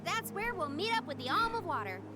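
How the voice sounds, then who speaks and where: A young adventurer girl in a fantasy game, eager and brash. Her high voice swings freely as she teases and pushes, with loud game music playing behind her. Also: sing-song, fairly quick. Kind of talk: fantasy video game voice acting.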